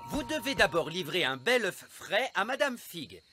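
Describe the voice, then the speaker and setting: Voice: mid-range voice, very animated, normal speed, lightly acted. A performer voicing an earnest, fussy, anxious male elf father in a children's cartoon, with music underneath. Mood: fretful. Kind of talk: animated children's cartoon dub.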